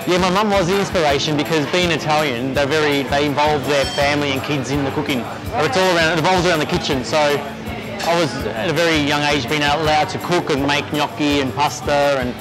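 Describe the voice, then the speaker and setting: A young adult man with an Australian accent, talking casually as an interview guest, halting and restarting often. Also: on the high side, steady tone, music in the background, rough recording. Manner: easygoing, halting with many restarts and fillers. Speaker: executive chef, interview guest.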